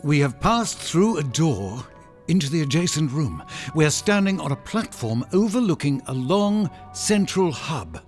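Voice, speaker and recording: A man's voice: on the high side, big pitch swings, measured pace, soft background music. An actor playing a scholarly, fussy older professor who dictates his marvelling observations in a sci-fi audio drama. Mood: awed, observing. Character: scholarly older male professor, fascinated, fussy space explorer.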